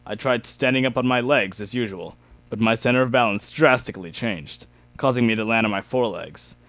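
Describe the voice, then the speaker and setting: A young man narrates fan fiction as an amateur dramatic reader. His pitch is average for a man. The recording is dry, with no room sound. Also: fairly quick, a bit bright, no echo. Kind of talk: dramatic reading of first-person fan fiction.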